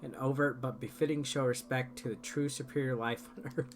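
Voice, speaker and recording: A man's voice: mid-range voice, fairly even intonation, brisk. A man doing casual, playful gameplay commentary as a streamer, sometimes reading on-screen text aloud. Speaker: video game streamer. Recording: game music behind the voice. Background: game music.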